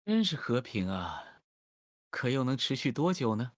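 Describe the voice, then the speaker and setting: An actor playing a suave, sly cavalry captain in a fantasy game, teasing and playfully mocking. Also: male voice, medium pitch, big pitch swings, moderate pace, no room sound, studio quality, lightly acted.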